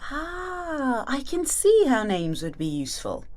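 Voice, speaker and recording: A woman's voice: sits high, huge pitch swings, unhurried, a little mellow, exaggerated voice. A woman playing Alice's part as a curious, questioning schoolgirl in an audio play. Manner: inquisitive, questioning. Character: Alice, curious young schoolgirl lost far from home.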